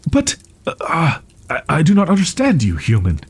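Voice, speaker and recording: A man voicing a shy, proud young wolf-boy in an audio roleplay, speaking in archaic formal phrases with halting, flustered stammers. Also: fairly high pitch, huge pitch swings, slightly rough, exaggerated voice. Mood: flustered, reluctant. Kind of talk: audio roleplay, character acting, ASMR.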